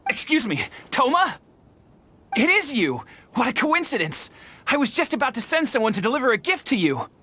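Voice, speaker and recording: An actor voicing a grateful, high-energy male merchant in game dialogue, eager and effusive. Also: big pitch swings, normal speed, bright, forceful tone, breathy edge, exaggerated voice.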